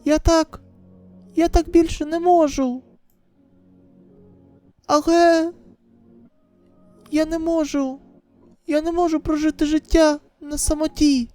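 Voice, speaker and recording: A woman's voice: high voice, level tone, slow, long pauses, warm tone, no echo, faint music behind, voice pushed up. A woman voicing an anxious, tender teenage girl in an emotional, pleading manner for a dramatic manga voice-over. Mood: despairing.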